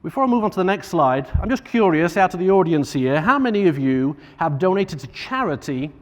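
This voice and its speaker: A man with a Northern English accent giving an informal, somewhat halting conference keynote as a charity partnerships manager. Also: sits high, sing-song. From Northern England. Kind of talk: conference keynote speech to an audience. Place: large conference room, on stage. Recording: echoey hall reverb.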